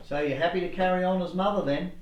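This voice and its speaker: A man's voice: high voice, steady tone, quick pace, dull audio, close to an everyday voice. A man playing a sceptical, plain-spoken radio actor in a comedy drama, matter-of-fact and questioning. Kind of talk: amateur-style comedy drama dialogue. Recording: echoey room.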